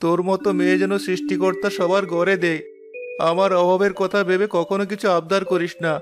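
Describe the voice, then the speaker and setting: Man as a high-voiced humble, destitute villager. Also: level tone, fairly quick, lightly acted. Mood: sorrowful, tender. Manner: plaintive, pleading. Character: humble, destitute villager, loving father pleading for help. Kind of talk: moral-story cartoon acting. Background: music.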